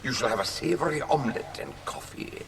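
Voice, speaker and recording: An actor playing a suave, menacing sorcerer villain in an audio drama, theatrical and mockingly polite with drawn-out threats. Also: male voice, mid-range voice, animated, creaky, a little hiss or hum. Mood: mockingly genial.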